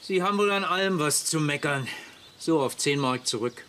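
An actor playing a stubborn, grumpy campsite kiosk keeper in a radio comedy, gruff and curt. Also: male voice, fairly high pitch, animated, moderate pace, noisy background, lightly acted. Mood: irritated. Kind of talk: radio comedy drama acting.

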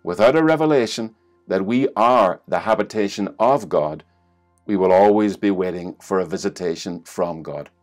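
A man's voice: low-pitched, moderate pace, faint music behind. A middle-aged man with an Irish accent preaching an online sermon from his living room, reading scripture and building to emphatic exclamations, recorded on a webcam. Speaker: pastor. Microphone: webcam at desk distance.

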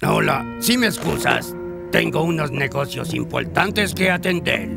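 Gravelly scheming old villain. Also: male voice, medium pitch, big pitch swings, measured pace, full character voice. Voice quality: gravelly, cackling. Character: scheming old male rival team owner, sly. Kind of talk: Spanish cartoon dub. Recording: loud music under dialogue.

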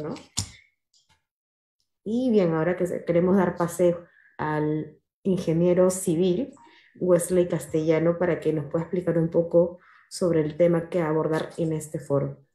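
A woman's voice: on the low side, mellow. A woman hosting an online engineering forum, who formally hands over to speakers by their titles. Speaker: host, moderator. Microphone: video call. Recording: roomy video-call audio.

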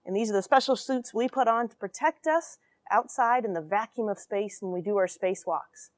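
Woman in her 40s doing kids story time, expressive, asks the little listeners questions, small metal room. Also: mid-range voice, normal speed. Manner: animated, expressive, addresses young listeners with questions. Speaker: astronaut reading a children's story. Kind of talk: storytelling and explaining for children. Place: small cramped metal space station airlock.

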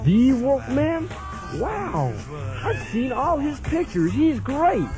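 Young salesman, radio comedy, played by a man: high, animated, naive, hesitant and stammering. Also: slow, over music, exaggerated voice. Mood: eager. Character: eager, nervous, naive young travelling salesman. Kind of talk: comic radio play acting.

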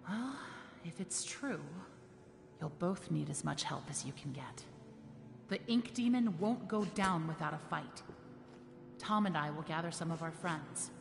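A woman's voice: deep voice, lively intonation, unhurried, creaky, exaggerated voice. An actress playing a guarded, level-headed woman warrior in a game cutscene, composed, wry and firm. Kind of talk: video game cutscene acting. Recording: long reverb, faint music behind.

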